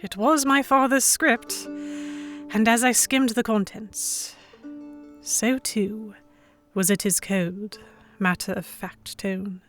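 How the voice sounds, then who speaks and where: A woman's voice: medium pitch, a bit bright. Woman acting an anxious young captive heroine over music. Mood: uneasy. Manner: measured, literary, introspective. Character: Everly, abducted young English woman, anxious pirate-tale heroine. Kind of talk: scripted first-person dramatic narration.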